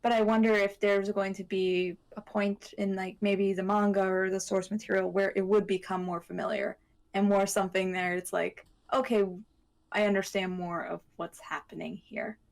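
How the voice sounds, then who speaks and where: Woman co-hosting a chat, mid pitch, kinda hesitant n rambly, giggly. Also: little pitch movement, normal speed, vocal fry. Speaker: podcast co-host. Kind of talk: casual chat.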